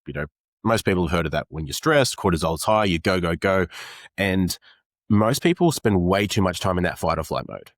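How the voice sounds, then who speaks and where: Low-voiced Australian man explaining. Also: lively intonation, normal speed, no echo, studio quality. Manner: earnest, explanatory, fillers like "you know". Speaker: men's coach. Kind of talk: conversational interview.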